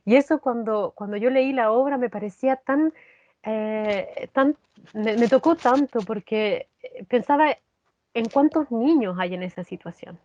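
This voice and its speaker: A woman with a Chilean accent answering in an interview, reflective and earnest, often halting and repeating phrases. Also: on the high side, darkish tone, no room sound. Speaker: actress, interview guest. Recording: dull, lo-fi sound.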